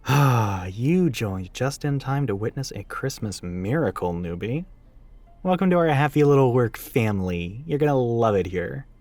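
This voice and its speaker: A man's voice: medium pitch, lively intonation, normal speed, darkish tone, no echo, soft background music, lightly acted. Man playing a catty, flamboyant retail worker, sassy and theatrical. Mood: smug. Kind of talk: acted audio roleplay.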